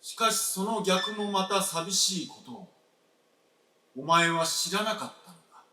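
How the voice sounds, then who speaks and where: A man's voice: high voice, expressive, a little slow, sharp tone, full character voice. A man playing a self-doubting, blunt and resigned husband in a radio drama, with terse, old-fashioned masculine speech. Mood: insistent. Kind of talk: staged radio drama acting. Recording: echoey stage, distant mic.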